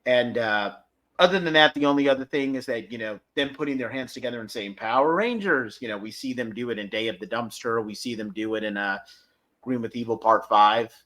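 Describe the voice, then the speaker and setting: A man's voice: mid-range voice, fairly quick, a bit bright. A man hosting a podcast, giving casual review commentary and recapping step by step in a hesitant way. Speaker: podcast host.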